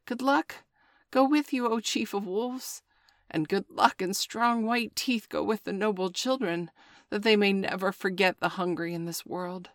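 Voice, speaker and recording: A woman voicing a small, fawning and spiteful male jackal who drawls his flattery in breathy whispers. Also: mid-range voice, dry recording, studio quality, pitched above the performer's own voice, close to an everyday voice. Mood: wheedling. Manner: wheedling, breathy whispers, drawn-out words.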